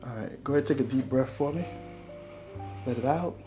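A man's voice: mid-range voice, brisk, dark tone, soft background music. A middle-aged man talking casually and reassuringly as a chiropractor while he treats a patient in a small room. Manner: reassuring, checking in often.

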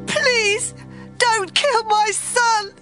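A distraught young mother from an ancient tale. Her voice is high and slow, full of emotional pleading. It is an audio drama performance with music underneath. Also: animated. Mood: desperate, tearful. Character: anguished young mother pleading before a king.

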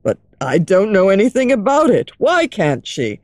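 A woman voicing an anxious, earnest young nobleman who keeps his voice low and restrained. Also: huge pitch swings, normal speed, a little mellow, no echo.

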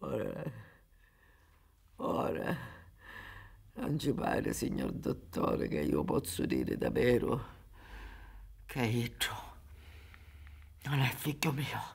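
An actress in her sixties playing an anguished, bitter old Sicilian peasant mother in a radio drama, with a Sicilian accent and halting, dramatic delivery. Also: extremely low pitch, sing-song, vocal fry, slightly rough, heavily acted. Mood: hushed, broken. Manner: dramatic, halting, swinging between hushed whispers and cries. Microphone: studio microphone with headphones on a theatre stage.

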